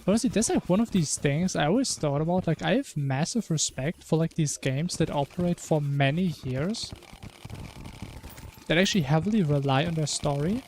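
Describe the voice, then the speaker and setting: A man's voice: on the high side, lively intonation, moderate pace, a little mellow, a little hiss or hum. A man streaming a video game, chatting casually and musing in a rambling way. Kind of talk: casual chat while gaming.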